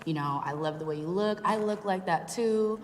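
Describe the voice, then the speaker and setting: A confident woman in her twenties speaks in a lowish voice on a panel. A long reverb and faint noise surround her words. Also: normal speed. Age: 20s. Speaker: curve model and influencer.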